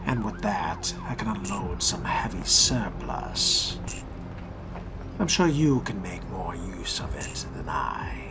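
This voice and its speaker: A man role-playing a courteous, dry-witted fledgling vampire in a video game, talking in a polite, breathy murmur. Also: low voice, lively intonation, takes it slowly, loud music behind the voice, full character voice. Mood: musing.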